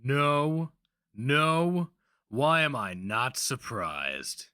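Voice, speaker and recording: A man's voice: medium pitch, big pitch swings, extremely slow, pushed and bright, no room sound, studio quality, pitched above the performer's own voice, full character voice. A man voicing a dismissive old slaver who lectures with spitting scorn in a fantasy story. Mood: insistent.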